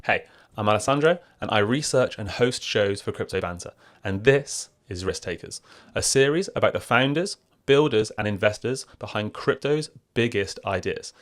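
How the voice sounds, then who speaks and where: A man's voice: lowish voice, lively intonation, moderate pace, fairly bright tone, dry recording. A British man from the South West of England hosting an interview, chatty and stumbling over his questions. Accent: British English. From Bath, South West England. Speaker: podcast host. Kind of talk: casual interview chat.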